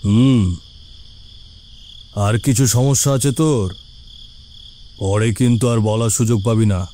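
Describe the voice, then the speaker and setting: Man voicing a stern, mellow village headman. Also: fairly low pitch, fairly even intonation, a little hiss or hum. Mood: curt, restrained. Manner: commanding, condescending, drawn-out hums. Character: stern village headman, self-righteous, restrained anger, dismissive. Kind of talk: cartoon voice acting.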